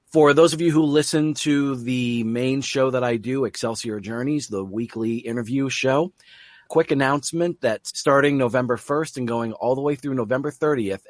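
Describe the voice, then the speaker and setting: A man's voice: mid-range voice, no room sound, studio quality. A man hosting an interview podcast in an enthusiastic, effusive manner. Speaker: podcast host.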